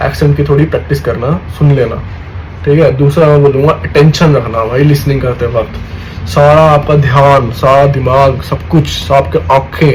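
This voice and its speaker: A man's voice: medium pitch, darkish tone, slight background noise, poor audio. A young man in casual talk to his viewers, giving exam tips in a chatty way, often calling them brother and checking they follow. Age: young adult. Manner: chatty, addresses viewers as "bhai", repeats "theek hai". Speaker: student vlogger.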